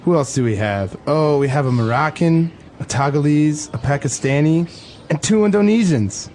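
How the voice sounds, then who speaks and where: A man's voice: fairly high pitch, expressive, moderate pace, a little mellow, slight background noise. A man reading a scripted student dialogue in an enthusiastic, encouraging way.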